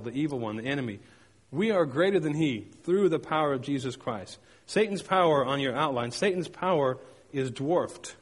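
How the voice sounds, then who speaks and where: A man's voice: medium pitch, in a large hall. A man delivering a sermon, telling personal stories with emphatic repetition and playful sound effects. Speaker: pastor.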